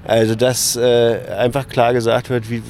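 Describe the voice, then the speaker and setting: A man's voice: medium pitch, little pitch movement, moderate pace, darkish tone. Candid man, noisy outdoor recording. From Berlin, Germany. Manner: candid, conversational, searching for words. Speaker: interview guest. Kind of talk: casual reminiscing in an interview. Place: outdoors on a large urban lawn.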